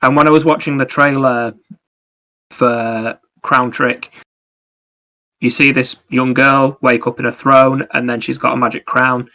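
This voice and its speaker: Bright-voiced man, even pitch, podcast chat. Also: a little slow. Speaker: podcast co-host. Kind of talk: casual video game review chat.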